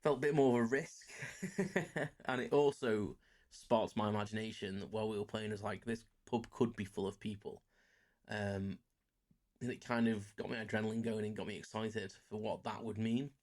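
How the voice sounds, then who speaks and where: A man's voice: fairly low pitch, fairly even intonation, normal speed, a little mellow. A man around forty, chatting casually on his solo podcast, rambling and self-deprecating with many fillers. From England. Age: about 40. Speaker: podcast host.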